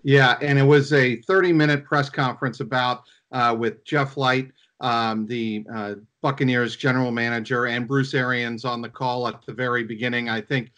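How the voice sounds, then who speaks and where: A man gives off-the-cuff sports commentary. His voice is medium in pitch, and his phrasing is halting, with plenty of pauses as he builds each point. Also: steady tone, fairly bright tone. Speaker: sports reporter and analyst.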